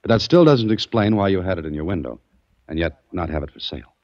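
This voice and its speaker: A man's voice: low voice, expressive, fast talker, no room sound. An actor playing a curious, wry male adventurer who also narrates, casual and probing, in 1940s radio style. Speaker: narrator and lead. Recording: dull dry old radio recording.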